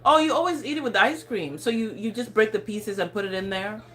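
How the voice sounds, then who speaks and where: A woman's voice: mid-range voice, a little fast, fairly bright tone. A woman in her thirties with a non-native accent, chatting casually on a phone livestream, rambling, laughing and stumbling over words. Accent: non-native English accent. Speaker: lifestyle channel host chatting on a livestream. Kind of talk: casual live chat reading viewer comments.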